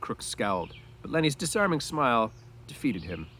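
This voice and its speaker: A man's voice: mid-range voice, big pitch swings, normal speed, bright, forceful tone, a little hiss or hum. Man reading a novel aloud, explanatory. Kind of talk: storybook narration with informal asides.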